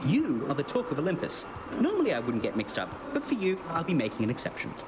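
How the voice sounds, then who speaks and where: A cheeky young messenger god, highish and wildly animated. He talks in clipped, eager bursts under loud background noise. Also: fast talker. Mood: brisk, chipper. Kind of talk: video game dialogue.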